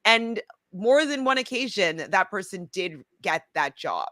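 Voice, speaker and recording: A woman's voice: mid-range voice, lively intonation, unhurried, bright, forceful tone, dry recording, clean studio sound. A woman coach chatting casually and earnestly about acting. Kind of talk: casual conversational chat.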